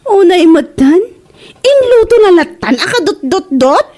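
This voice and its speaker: Lady doing a squeaky high ilocano gossip buddy, nosy, shocked, voice jumps around. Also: very animated, unhurried, darkish tone, heavily acted. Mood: astonished. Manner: exclamatory, questioning. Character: nosy, astonished female friend of village gossip. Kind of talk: Ilocano comedy radio drama acting.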